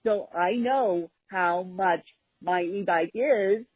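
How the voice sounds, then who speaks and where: Slow, husky-voiced woman host. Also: lowish voice, no echo. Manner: halting, with frequent pauses and fillers. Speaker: podcast host. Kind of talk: casual conversational podcast chat. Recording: dull, dry sound.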